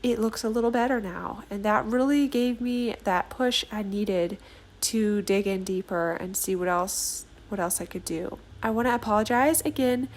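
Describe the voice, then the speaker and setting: A woman in her thirties narrating a home video in a casual voice-over, her voice a bit hoarse from a cold, chatty and quick to laugh. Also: mid-range voice, a little mellow. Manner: chatty, self-deprecating, laughs often. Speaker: vlogger.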